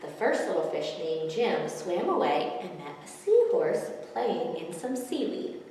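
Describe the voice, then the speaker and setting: A woman's voice: on the low side, very animated, measured pace, warm tone. A woman in her thirties presenting and reading picture books aloud to children. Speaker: children's library storyteller. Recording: echoey hall, distant mic, background noise.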